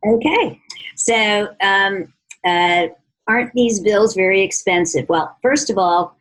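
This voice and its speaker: A woman answering questions as an advocacy speaker at a press conference, speaking formally and with many halting hesitations. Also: medium pitch, fairly bright tone, rough recording. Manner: halting, heavily hesitant, formal policy phrasing.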